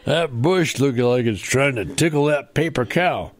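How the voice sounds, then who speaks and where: A man playing an excitable, gawking country bumpkin farmer in a comedy radio sketch. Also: medium pitch, moderate pace, close to an everyday voice. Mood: amazed. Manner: rustic, gawking. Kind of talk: improvised comedy radio theater.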